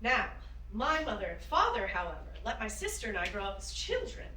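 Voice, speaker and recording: A woman's voice: mid-range voice, sing-song, brisk, sharp tone, a little hiss or hum. A woman playing a spirited, encouraging woman aviator in a staged play reading, with an earnest, emphatic manner. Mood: storytelling. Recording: roomy, distant microphone.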